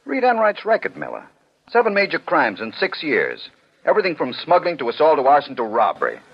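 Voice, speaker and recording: A man's voice: a little high, huge pitch swings, a little fast, slightly rough, exaggerated voice. A man playing a stern, skeptical commissioner in a 1940s radio drama, authoritative and unbending. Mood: stern, adamant. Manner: authoritative, argumentative. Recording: dull old 1940s radio broadcast.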